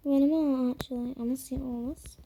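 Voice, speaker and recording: Woman gamer, croaky, high and soft-toned, loose filler-laden commentary. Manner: rambling, filler-heavy, trails off into murmurs. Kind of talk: casual gameplay commentary.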